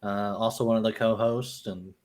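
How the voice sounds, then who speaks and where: A man's voice: lowish voice, fairly even intonation, normal speed, a little mellow. A man chatting casually as a podcast co-host. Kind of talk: casual chat.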